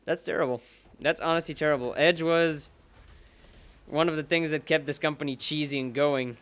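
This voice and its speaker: Young man, brightish higher voice, rambling profane let's-play chatter. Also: steady tone, normal speed. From Bulgaria. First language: Bulgarian. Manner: rambling and profane, curses at the game. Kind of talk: rambling casual commentary while gaming.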